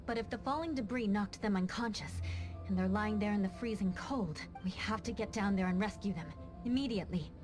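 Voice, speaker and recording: A woman voicing a composed, dutiful young female knight in an anime-style game, measured and resolute. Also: medium pitch, music in the background, natural voice. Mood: calm, urgent.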